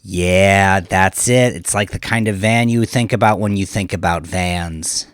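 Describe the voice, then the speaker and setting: A man's voice: deep voice, unhurried, clean tone, no room sound, clean studio sound. A man playing an ambitious young gangster in an audio crime story, coaxing and reassuring as he asks probing questions. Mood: encouraging.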